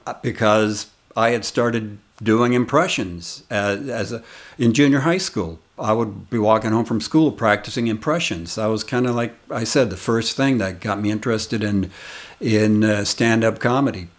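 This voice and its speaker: A man in his sixties, a comedian hosting his channel and casually telling a rambling, reminiscing story of his early days. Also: fairly low pitch. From United States. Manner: rambling, reminiscing, unhurried anecdotes.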